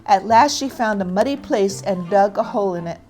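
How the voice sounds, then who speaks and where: A woman's voice: medium pitch, animated, moderate pace, mellow. Woman reading a children's story over music. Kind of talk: scripted children's story narration.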